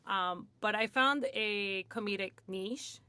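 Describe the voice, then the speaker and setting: A woman's voice: medium pitch, slow pace, bright, forceful tone, clear, resonant tone, no echo. Chatty woman from Hollywood. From Hollywood, USA. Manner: chatty, lots of "like" and "you know", laughs often. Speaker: guest in recovery. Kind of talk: candid casual storytelling. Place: radio studio.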